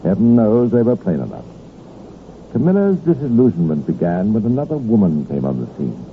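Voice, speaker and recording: Male narrator for vintage crime radio drama, formal and measured, lowish voice, thin telephone-like sound. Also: huge pitch swings. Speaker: narrator and host. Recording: tinny, noisy 1950s radio recording.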